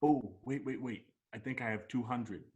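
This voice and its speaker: A man playing a placid mystic sidekick in an amateur table read, speaking in a deadpan way. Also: mid-range voice, normal speed, dull audio, natural voice.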